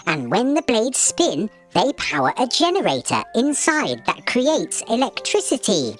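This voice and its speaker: Squeaky, excitable little storybook bug. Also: male voice, a little slow, faint music behind, heavily acted. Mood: chirpy, excited. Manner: chirpy, explanatory. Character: excitable little male magical bug, knowledgeable and cheerful. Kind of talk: children's storybook character voice.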